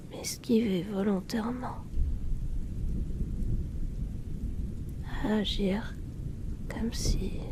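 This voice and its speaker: A shy young male outcast acted by a woman murmurs very slowly in a breathy, creaky whisper. He is halting, apologetic and down on himself, desperate to be noticed in an intimate ASMR roleplay. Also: mid-range voice. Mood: ashamed, apologetic.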